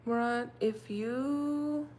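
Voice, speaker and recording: A woman's voice: on the high side, extremely slow, darkish tone. Chatty woman live-streaming to viewers. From Russia. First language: Russian. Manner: chatty, rambling, many fillers. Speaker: livestream host. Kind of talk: casual livestream chat with viewers. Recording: faint background noise.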